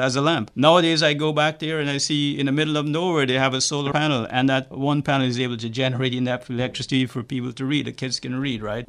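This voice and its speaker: A man's voice: mid-range voice, a bit bright. An older man, speaking as an academic in an interview and explaining things, often checking in with the listener. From Guyana. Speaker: academic researcher, climatologist. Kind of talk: interview answers, explanatory talk.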